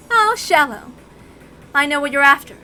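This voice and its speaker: Woman acting a gloating, haughty high-voiced witch. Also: pushed and bright, exaggerated voice. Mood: scornful. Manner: haughty, mock-polite, drawn-out explaining with cackling laughter. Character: gloating villainous female witch Vergilion, mocking and condescending.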